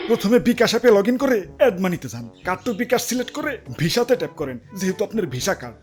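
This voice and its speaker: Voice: mid-range voice, close to an everyday voice. An actor playing a helpful market fee collector, giving scripted step-by-step instructions with a Bangladeshi accent. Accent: Bangladeshi Bengali. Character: helpful cattle-market fee collector explaining mobile payments. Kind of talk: scripted advert-like instructions in cartoon.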